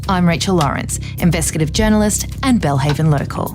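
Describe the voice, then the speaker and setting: An actress as an investigative journalist hosting a true-crime podcast. Her voice sits fairly low as she narrates and questions in a scripted drama, with clear music behind her. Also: fast talker, natural voice. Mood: poised, proud.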